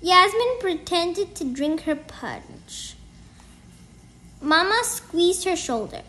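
Very high-pitched, lively woman reading aloud. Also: slow. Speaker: storybook reader. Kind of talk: children's book read-aloud.

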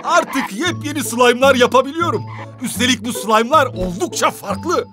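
A very high, swooping cartoon truck character, excitable and kind-hearted. The delivery is exaggerated and expressive, made for a children's animated show, with music behind it. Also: male voice, moderate pace. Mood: eager, upbeat. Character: excitable male toy dump truck, sleepy, kind-hearted.

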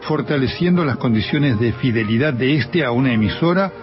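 A male journalist with an Argentine accent giving a measured, scripted documentary narration. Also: medium pitch. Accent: Argentine Spanish. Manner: measured, even reading. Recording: slightly dull sound with music underneath.

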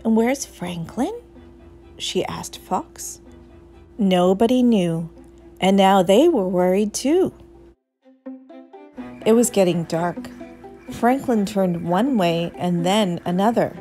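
A woman narrating a children's storybook aloud in a measured, expressive way. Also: medium pitch. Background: soft music.

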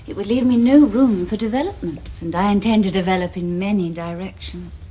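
Actress as mellow-voiced coy English society lady. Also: medium pitch, fairly quick, slight background noise, natural voice. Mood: coy, self-assured. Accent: upper-class English. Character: self-assured, coy young Victorian society lady.

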